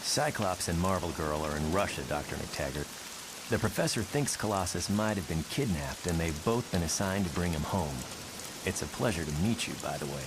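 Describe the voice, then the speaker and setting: A man playing a polite, erudite young mutant scientist in a motion comic, courteous and measured. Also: low voice, expressive. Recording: hissy track with rain noise.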